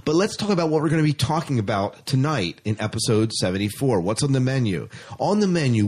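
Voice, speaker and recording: A man's voice: medium pitch, darkish tone, slightly rough. A man hosting a podcast in casual, enthusiastic chat, stressing points and often repeating himself. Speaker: podcast host.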